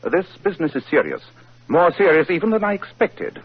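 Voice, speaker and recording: A cool, brilliant detective in a vintage radio play, lofty and teasing. His pitch rides lively and fairly high. The sound is muffled with a faint hiss. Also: a little fast. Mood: dry, amused. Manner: crisp, lofty, clipped and teasing. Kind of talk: 1940s radio acting.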